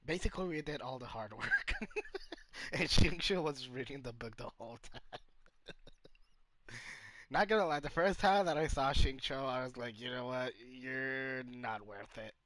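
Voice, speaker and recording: A man's voice: mid-range voice, unhurried, no room sound. A man giving casual, chatty gameplay commentary in an informal way, like a streamer talking as he plays. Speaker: gaming streamer. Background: loud game music.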